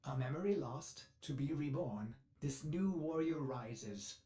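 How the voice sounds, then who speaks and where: A man voicing a polite, sardonic ship artificial intelligence in a video game, with a measured, theatrical delivery. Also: on the high side, expressive, warm tone. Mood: solemn. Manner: slow, measured, deliberate recitation. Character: polite, sardonic male ship AI reciting solemn verse.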